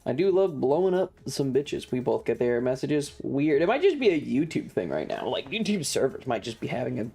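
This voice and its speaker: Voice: mid-range voice, sing-song, brisk, creaky, hardly any pauses, warm tone. A game streamer chatting casually and swearing freely over game music. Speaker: livestream host. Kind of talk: casual gaming stream chat.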